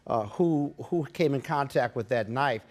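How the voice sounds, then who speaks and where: A man's voice: medium pitch, a little slow, a little mellow. A man with an American accent giving legal commentary in a TV interview, emphatic and fond of rhetorical questions. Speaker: guest legal analyst, criminal defense attorney.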